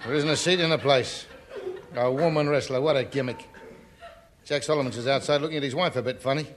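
A man plays a wily fight promoter in an old radio comedy. He has a mid-pitched voice and chummy, confiding patter. The recording has clear background noise. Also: fairly quick, close to an everyday voice. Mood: gleeful. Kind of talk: 1950s radio comedy acting.

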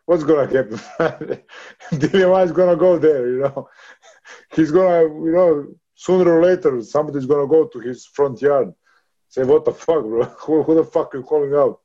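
A man's voice: fairly high pitch, normal speed, dark tone, highs cut off. Swearing man in his thirties, laughing often. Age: 30s. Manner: rough, swearing, laughs often, calls others brother. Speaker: boxer. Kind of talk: casual interview.

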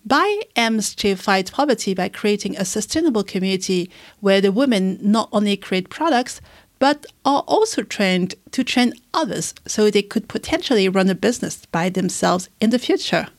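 A woman's voice: medium pitch, lively intonation, normal speed, no room sound. Dry-sounding woman host. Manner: attentive, encouraging listener. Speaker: podcast host. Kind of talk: scripted intro and interview.

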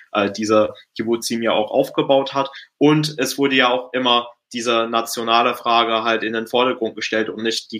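A man from the United Kingdom talking quickly and hesitantly in a casual political discussion. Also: lowish voice, bright, forceful tone. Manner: fast, hesitant, heated. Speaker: guest.

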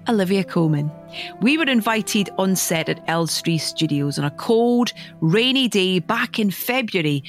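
A hoarse woman hosting a podcast, chatty and laughing along with her guest on a film set. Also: on the low side. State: hoarse, lost voice. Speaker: podcast host and interviewer.

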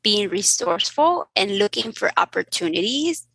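A woman who grew up in Mexico with Spanish as her first language, telling lively stories as a guest physician in a casual interview. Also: medium pitch, moderate pace, vocal fry, husky, no room sound, rough recording. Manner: animated, laughing storyteller.

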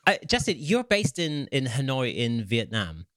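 A man's voice: mid-range voice, huge pitch swings, normal speed, fairly bright tone, no room sound, clean studio sound. A British man hosting a casual interview, asking easygoing, rambling questions full of fillers. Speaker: podcast host.

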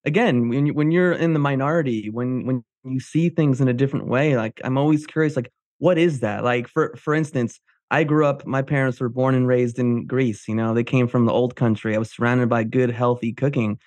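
A man's voice: medium pitch, a little fast, hardly any pauses, darkish tone, no room sound. A man from New Jersey hosting a casual interview, informal and curious, rambling into his questions. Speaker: podcast host.

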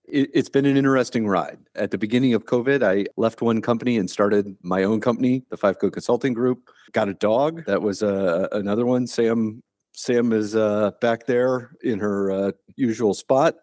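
Plainspoken low-voiced retired officer. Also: male voice, darkish tone, dry recording, clean studio sound. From Ohio, US. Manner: plainspoken, unhurried storytelling. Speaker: guest, retired Army colonel. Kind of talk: casual interview conversation. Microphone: remote call.

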